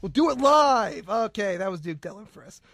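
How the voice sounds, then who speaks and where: A male show host with a fairly high voice trades casual comic banter. The recording is dry and close. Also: lively intonation, normal speed, creaky, a bit bright, dry recording.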